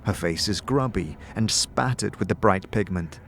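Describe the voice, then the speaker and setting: A man's voice: fairly low pitch, moderate pace, vocal fry, a bit bright, a little hiss or hum. A man narrating a fantasy audio drama in the second person, in a descriptive, dramatic storytelling manner.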